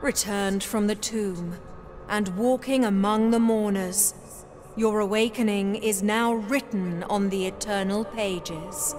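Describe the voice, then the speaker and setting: A woman's voice: medium pitch, steady tone, darkish tone, soft background music, lightly acted. A woman playing a serene heavenly preceptor in a video game, speaking in a formal, reverent, liturgical manner. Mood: solemn, reverent. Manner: solemn, formal, measured liturgical delivery. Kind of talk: scripted dramatic video game monologue.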